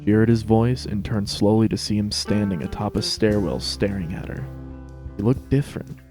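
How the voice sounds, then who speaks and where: A man's voice: on the low side, moderate pace, music in the background. A man narrating a scripted story as a dramatic reading.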